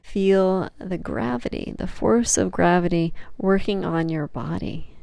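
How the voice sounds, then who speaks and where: A woman leading a guided meditation as a yoga nidra teacher, speaking gently and soothingly with long, unhurried pauses. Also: fairly low pitch, creaky, no room sound.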